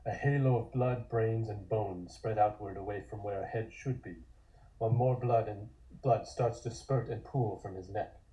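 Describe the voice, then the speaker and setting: A man's voice: fairly low pitch, normal speed, warm tone, dull audio. A man reading scripted horror stage directions as the narrator of a table read.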